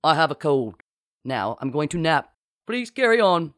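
Storybook impostor captain, played by a woman: loud, awkward, halting, forced gruff authority, dry sound. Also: low-pitched, big pitch swings, no echo. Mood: improvising. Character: flustered woman clumsily impersonating a male British sea captain.

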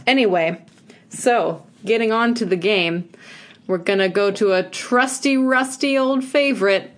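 A woman's voice: mid-range voice, measured pace. Chatty woman podcast co-host. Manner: chatty and gushing, many filler likes. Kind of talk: casual chat.

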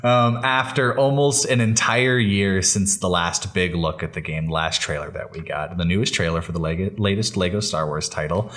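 A man's voice: low-pitched, fairly even intonation, moderate pace, few pauses, a bit bright. A man co-hosting a fan podcast, talking in a casual, enthusiastic, conversational way. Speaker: podcast co-host.

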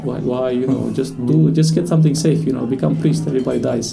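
A man's voice: medium pitch, fairly even intonation, rapid-fire, creaky, mellow. A man in his thirties from Romania, a stand-up comedian chatting casually in an interview, jokey and swearing freely. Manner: jokey, swears casually, laughs at own jokes.

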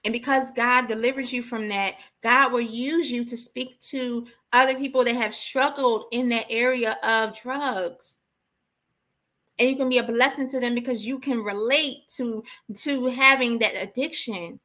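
Woman preacher, kinda high n really bright forceful voice, informal impassioned sermon, repeats stuff to drive it home. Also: fairly even intonation, a little slow. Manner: emphatic, exhorting, repeats key phrases.

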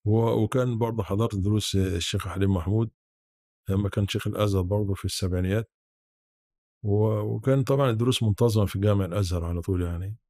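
Interview guest: Egyptian male, seventies, lowish creaky voice, reminiscing haltingly with restarts. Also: fairly even intonation, mellow, no room sound, clean studio sound. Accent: rural-born Egyptian Arabic. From Sharqia, Egypt. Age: 70s. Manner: halting, with repeated false starts. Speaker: podcast guest, academic.

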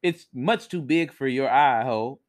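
A man's voice: mid-range voice, normal speed, pitched above the performer's own voice, close to an everyday voice. A man voicing a big buffalo in a folktale, weary and reluctant. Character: big patient male buffalo.

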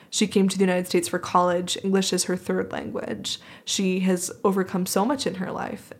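A woman with a mid-range voice talks in a reflective podcast conversation. She comes across as earnest and appreciative, speaking as a host who is sharing her own thoughts. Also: mid-range voice. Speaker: podcast host being interviewed.

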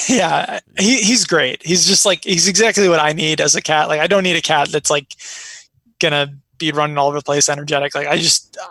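A man's voice: fairly high pitch, fairly even intonation, brisk, bright, forceful tone, dry recording. An American man talking casually and telling personal stories in an informal, rambling way peppered with "like". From born in Iraq, raised in Chicago, United States. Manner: rambling, informal, frequent filler "like". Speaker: interview guest.

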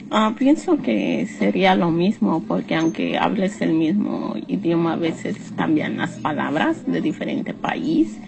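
A woman's voice: lowish voice, measured pace, gravelly, hardly any pauses. A woman giving casual answers in a survey interview. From Guatemala. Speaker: survey respondent. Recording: noisy on-location street-interview recording.

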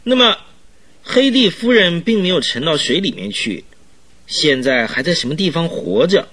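A man's voice: on the high side, breathy edge, exaggerated voice. A man playing an eager, self-satisfied police detective chief in a radio drama, exclaiming and drawing out his phrase endings. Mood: puzzled, flustered. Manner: animated, exclamatory, drawn-out particles. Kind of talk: Chinese radio drama acting.

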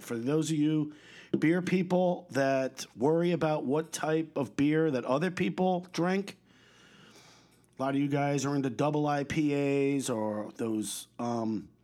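A man's voice: fairly high pitch, a little mellow. A man hosting his own podcast, talking in a blunt, profane, rambling way, his voice a little stuffed up and slightly coughy. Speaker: podcast host. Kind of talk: casual solo podcast rant.

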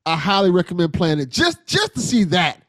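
A man's voice: sits high, very animated, a little fast, fairly bright tone, dry recording, clean studio sound. An American man hosting a casual podcast interview, asking questions and reacting conversationally. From southern United States.

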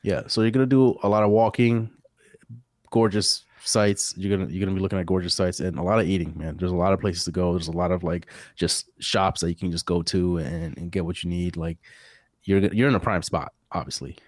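A man hosting a casual gaming podcast, stuffy from a cold, chatting in a relaxed way with lots of fillers. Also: sits low, brisk, dry recording, studio quality. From Puerto Rico. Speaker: podcast host.

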